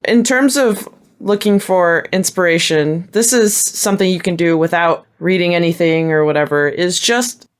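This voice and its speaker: Low-pitched woman, casual podcast talk. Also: normal speed. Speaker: podcast panelist. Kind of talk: casual chat.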